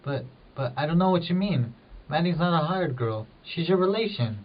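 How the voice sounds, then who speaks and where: A man's voice: a little high, lively intonation, moderate pace. A man playing a poor, conflicted farmer with rural old-fashioned phrasing in an amateur period drama. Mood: pleading. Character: poor, conflicted married farmer, secretly in love. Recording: muffled audio, distant microphone.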